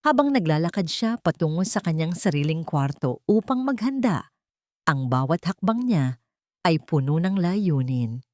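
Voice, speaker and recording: A woman's voice: low-pitched, very animated, moderate pace, dark tone, no echo, clean studio sound. A woman reading a scripted love drama as its narrator, in a dramatic storytelling style.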